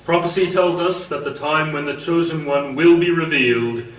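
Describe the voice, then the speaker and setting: A man playing a calm, secretive cult leader in an amateur sci-fi radio play, speaking in a solemn, measured way. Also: on the high side, roomy sound, distant mic, natural voice, rough recording. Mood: reverent. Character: calm, secretive male cult leader guarding sacred chamber.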